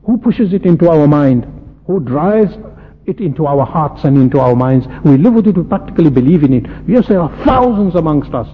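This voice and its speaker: A man from South Africa preaching a sermon, impassioned, firing rhetorical questions and swinging from loud peaks to soft low asides. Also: animated, fairly quick, husky. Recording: lo-fi phone-like radio broadcast with faint hiss and long hall reverb.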